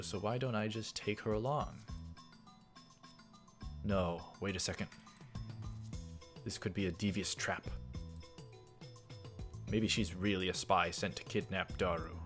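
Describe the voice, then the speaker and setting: A low-voiced man narrates a visual novel for a let's play. His delivery is halting, with repeated words and offhand interjections. Faint game music plays behind him. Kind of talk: reading visual novel text aloud with casual commentary.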